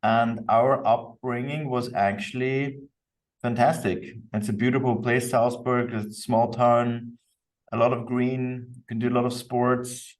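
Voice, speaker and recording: A man's voice: mid-range voice, little pitch movement, a little slow. A man from Austria speaking as a podcast guest, explaining himself in an easygoing, reflective interview style. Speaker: podcast guest, company co-founder. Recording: distant microphone.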